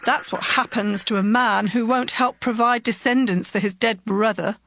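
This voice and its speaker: An indignant widow of ancient Israel makes her public accusation in a fairly high, declamatory voice. It is a scripture drama, with clear noise in the background. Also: fairly even intonation, moderate pace, a bit bright. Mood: scornful.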